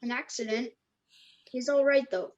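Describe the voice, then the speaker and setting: A woman's voice: a little high, steady tone, normal speed, a little mellow, close to an everyday voice. A woman playing a shaken teenage boy in denial in an amateur table read. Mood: anxious. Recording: dull muffled lo-fi recording.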